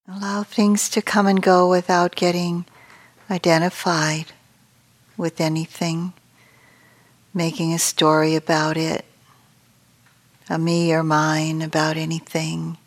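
A woman meditation teacher leading a guided meditation in a gentle, unhurried way with long pauses, her voice soft and turning breathy as phrases end. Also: sits low, monotone.

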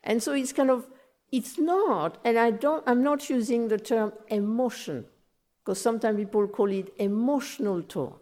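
A woman with a French accent giving a gentle, explanatory talk on meditation as a teacher. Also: fairly high pitch. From France. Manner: gentle, explanatory, repeats phrases, slow in places. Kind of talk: dharma talk. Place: meditation center.

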